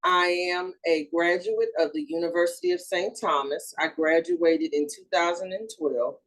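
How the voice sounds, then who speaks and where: A woman's voice: deep voice, rough recording. Earnest woman therapist giving a talk. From Houston, Texas. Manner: earnest and measured, with fillers and self-corrections. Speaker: grief and trauma therapist. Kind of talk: recorded educational presentation.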